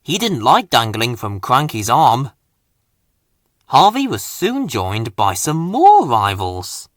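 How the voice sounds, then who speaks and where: A man's voice: mid-range voice, huge pitch swings, slow pace, no echo, studio quality. A man reading a children's story aloud as a scripted narration. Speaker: storybook reader.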